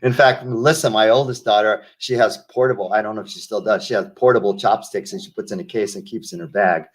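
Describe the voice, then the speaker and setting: An older man from the United States speaks in a lowish voice as an interview guest. He tells his stories slowly and reflectively, doubling back and restarting his sentences. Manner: reflective storyteller, many restarts and asides. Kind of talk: casual interview conversation.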